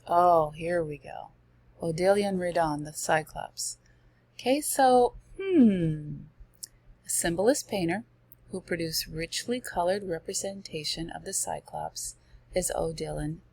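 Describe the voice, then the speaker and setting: Woman narrator, strained fading voice, gravelly, unhurried chatty slide talk, dry close sound. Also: lowish voice, a little mellow, no echo. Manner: chatty, reads passages then comments with asides. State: losing her voice. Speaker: student presenting slides. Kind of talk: casual slide-by-slide art lesson.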